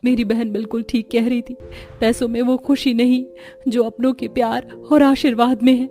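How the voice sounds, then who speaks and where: A young woman voicing an emotional married sister in a cartoon family drama, with expressive delivery. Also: a little high, normal speed, soft background music, natural voice. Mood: wistful, troubled.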